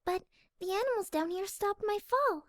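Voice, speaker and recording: A woman voicing a shy, gentle young female pegasus pony in a fan audio drama, timid, hesitant and soft-spoken. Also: very high voice, expressive, moderate pace, no echo, clean studio sound. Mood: bashful.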